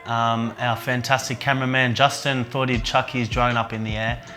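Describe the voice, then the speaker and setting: A man's voice: mid-range voice, flat delivery, normal speed, faint music behind. A man, an event organiser and men's coach, talking earnestly and reflectively in a documentary interview. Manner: soft-spoken, earnest, with fillers.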